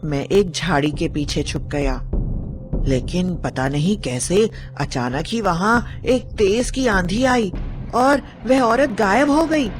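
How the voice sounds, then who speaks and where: Woman reading as a slow-spoken troubled village farmer. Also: mid-range voice, lively intonation, over music. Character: frightened, troubled male village farmer recounting a sighting.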